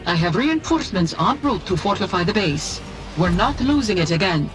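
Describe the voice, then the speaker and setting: An actress playing a composed female military officer in a video game, giving briefings in a brisk, authoritative, businesslike manner. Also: mid-range voice, very animated, close to an everyday voice. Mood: urgent, firm. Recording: game music behind the voice.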